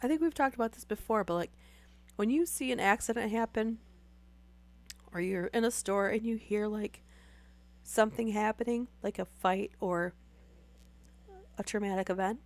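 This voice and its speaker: A young woman chatting casually with live viewers while losing her voice to a sore throat, rambling with many fillers. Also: medium pitch. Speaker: streamer.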